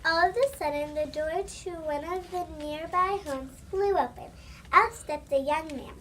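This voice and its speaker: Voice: very high voice, measured pace, sharp tone. A child reading scripted storybook narration aloud. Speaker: student narrator. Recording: faint room noise.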